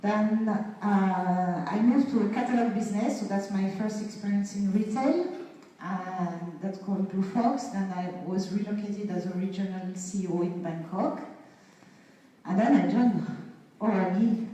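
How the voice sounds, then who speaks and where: A woman around fifty, from Morocco, giving a conference talk with many fillers and pauses. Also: medium pitch, warm tone. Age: 50s. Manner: halting, many fillers, laughs between points. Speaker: guest speaker. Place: event venue. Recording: echoey hall from far off.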